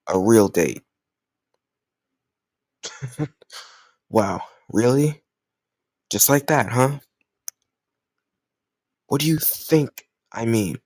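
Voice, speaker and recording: A man's voice: medium pitch, no echo. A man playing a jealous, stubborn young lover in an intimate romantic audio roleplay, speaking emotionally and insistently in casual language. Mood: jealous, indignant. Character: jealous, stubborn young male lover confessing his love.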